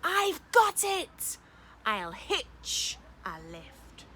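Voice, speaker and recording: A woman's voice: very high-pitched, sing-song, very slow, pushed and bright, a little hiss or hum, pitched above the performer's own voice, exaggerated voice. A woman voicing a tiny, restless female sea snail in a storybook, drawing out words with emphatic longing. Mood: excited, determined. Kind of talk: storybook character voice.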